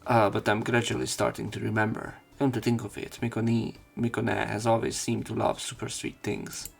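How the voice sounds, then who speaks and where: Male let's play host, low creaky voice, narrating visual novel text offhandedly. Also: completely flat delivery, normal speed. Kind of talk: reading visual novel text aloud with commentary.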